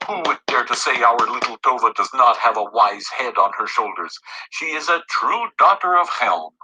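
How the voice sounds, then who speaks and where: A man's voice: mid-range voice, lively intonation, normal speed, pushed and bright, slightly rough, exaggerated voice, low-quality recording. A man voicing a proud, admiring village wife and mother in a storybook. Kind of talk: animated storybook reading.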